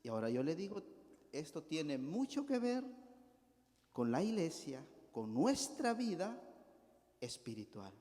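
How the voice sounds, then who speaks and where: A man's voice: on the high side, big pitch swings, unhurried, pauses often, mellow. A middle-aged Salvadoran man preaching a sermon to his congregation, folksy, often asking the congregation to agree with him as he tells a homely story. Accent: Salvadoran Spanish. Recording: long reverb of a church hall.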